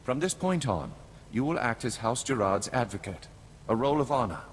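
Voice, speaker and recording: An actor playing the suave, commanding head of a noble house in a video game, formal and measured. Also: male voice, medium pitch, animated, slight background noise, close to an everyday voice. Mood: composed, businesslike. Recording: background music under the voice.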